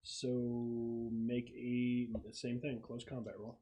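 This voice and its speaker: A man's voice: medium pitch, completely flat delivery, slow, dark tone. A man running a tabletop roleplaying game as game master, casually narrating rules and action. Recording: noisy home recording with clicks.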